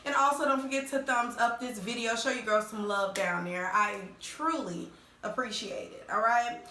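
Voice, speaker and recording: A woman with a bright, fairly high voice talks her viewers through a reality show recap, slightly hoarse from a cold. She chats loosely and leans on fillers like "you know" and "okay". Her voice bounces around a roomy, echoey space. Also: normal speed, slight background noise. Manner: addresses viewers directly as "y'all". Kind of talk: casual chatty recap vlog.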